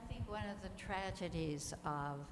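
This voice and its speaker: A woman offering reflections in a group discussion, measured and deliberate, pausing often between phrases. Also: lowish voice. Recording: echoey hall with clear background noise.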